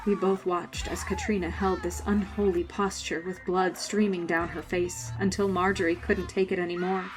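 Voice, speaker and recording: A woman's voice: on the low side, little pitch movement, moderate pace, music in the background, lightly acted, low-quality recording. A woman playing a haunted adult survivor, narrating a scripted horror drama in a grave, suspenseful way. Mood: dread. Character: haunted grown woman narrating her frightening schooldays. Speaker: narrator and main character.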